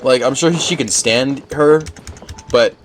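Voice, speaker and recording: Guy chatting casually while gaming, average pitch, soft music in the back. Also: slow. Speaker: gamer playing and commenting. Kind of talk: casual game commentary. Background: faint game music.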